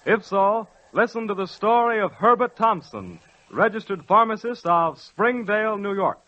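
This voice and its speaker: A man's voice: high voice, animated, normal speed, slight background noise. A man announcing a radio program from a script in formal 1940s style. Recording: dull old 1940s radio recording.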